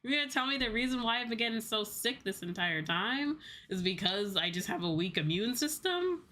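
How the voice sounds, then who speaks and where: An American woman livestreamer rambling casually to her viewers in an animated storytelling way, acting out bits of dialogue. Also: a little high, fairly quick. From United States. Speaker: VTuber livestreamer. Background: background music.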